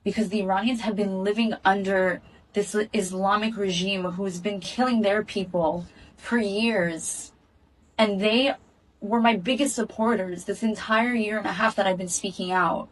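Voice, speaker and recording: Woman, earnest statement to camera, steady and measured, slightly off-mic. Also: medium pitch, far from the mic, rough recording. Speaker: activist speaking in a video clip. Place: in Israel.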